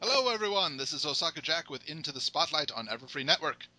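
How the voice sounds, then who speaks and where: A man's voice: fairly high pitch, animated, fast talker, sharp tone, no room sound. A man hosting a podcast interview, casual and playful, slipping jokes and silly asides into his questions. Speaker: podcast host.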